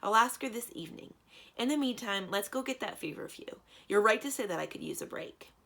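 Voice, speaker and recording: Woman acting young storybook heroine, practical, wry, decisive, matter-of-fact, slightly creaky. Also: medium pitch, expressive, a little fast, a bit bright, voice pushed up.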